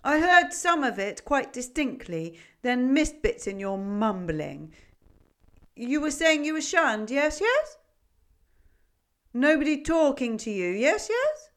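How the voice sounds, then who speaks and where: A woman voicing a lonely, blind old goat with a gruff, cobwebby voice and a fussy way of talking, in a read-aloud storybook. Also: high voice, very animated, takes it slowly, fairly bright tone, voice pushed up, heavily acted. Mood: questioning. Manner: fussy, keeps asking "yes, yes?". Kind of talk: storybook reading.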